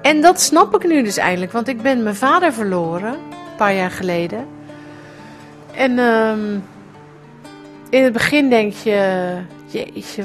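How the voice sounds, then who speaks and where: A middle-aged woman, telling a personal recollection in a conversational, reflective way. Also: a little high. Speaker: writer, guest presenting a personal radio piece.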